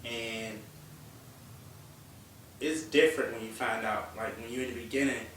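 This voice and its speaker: A man's voice: mid-range voice, unhurried, fairly bright tone, slight background noise. Candid young man, echoey distant mic. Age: young adult. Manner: candid, emotional, swears. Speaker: guest. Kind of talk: casual panel chat.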